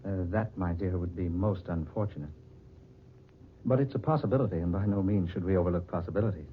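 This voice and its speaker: An actor playing a suave, scheming, unhinged murderer in an old-time radio drama, theatrical and condescending. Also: male voice, deep voice, a little fast, slight background noise, natural voice. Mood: smooth, threatening. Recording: dull lo-fi old radio recording.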